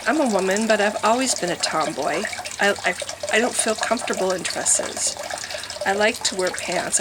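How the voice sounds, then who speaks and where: A woman's voice: medium pitch, noisy background. A middle-aged woman from Wisconsin with a nasal voice, rambling candidly in a personal vlog monologue with many restarts. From Wisconsin, USA. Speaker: vlogger. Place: her home with water fountains running.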